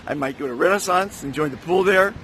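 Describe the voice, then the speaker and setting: A man's voice: on the high side, fairly quick, a bit bright, a little hiss or hum. Upbeat man vlogging with a strained voice. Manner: upbeat, enthusiastic. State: losing his voice. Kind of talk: casual vlog chat. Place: outdoors at a resort, windy.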